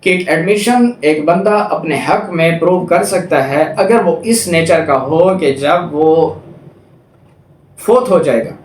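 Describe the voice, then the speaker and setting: A man with a Pakistani accent and a slightly high-pitched voice delivers a lecture in a roomy, echoing space. He is didactic, reading out formal passages and then explaining them point by point. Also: slight background noise. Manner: didactic, explains step by step with examples. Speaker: law lecturer. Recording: echoey, roomy sound.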